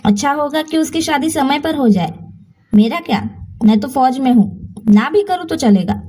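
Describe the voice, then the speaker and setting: Woman as storybook soldier brother, selfless, caring, earnest, concerned, high animated voice. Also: normal speed, distant mic.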